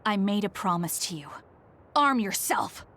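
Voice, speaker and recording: A woman's voice: mid-range voice, animated, measured pace, heavy background noise. A woman playing a determined, anguished young psychic soldier in a dramatic video game, speaking with grave, introspective resolve. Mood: grim resolve.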